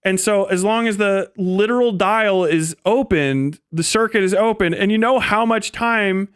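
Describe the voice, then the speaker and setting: A man's voice: high-pitched, unhurried, fairly bright tone, clear, resonant tone, no echo, studio quality. A middle-aged man telling stories in a casual, rambling livestream style, with lots of swearing and slang. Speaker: streamer telling stories from military service.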